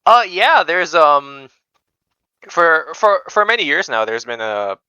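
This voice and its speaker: A young man from Indonesia chatting casually as an interview guest, hesitant and modest, with a clear, smooth voice. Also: on the high side, very animated, takes it slowly, sharp tone, no room sound, low-quality recording. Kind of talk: casual chat.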